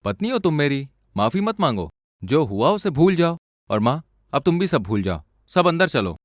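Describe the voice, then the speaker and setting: A man's voice: a little high, big pitch swings, normal speed, no room sound, clean studio sound. A man voicing a principled, forgiving young husband in a cartoon story, earnest and firm.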